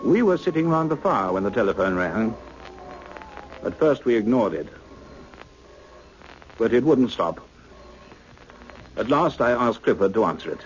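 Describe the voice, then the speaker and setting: An actor playing a commanding scientist in a 1960s radio drama, grave and authoritative. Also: male voice, lowish voice, sing-song, a little slow, pauses often, soft background music. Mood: reflective narration. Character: commanding male scientist doctor facing an alien threat, also narrating. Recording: dull, noisy old radio recording.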